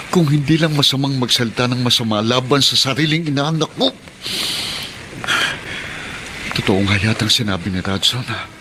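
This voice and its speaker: A man's voice: mid-range voice, bright, forceful tone, a little hiss or hum, natural voice. An actor playing a frustrated working son wronged by his mother in a Tagalog radio drama. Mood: angry.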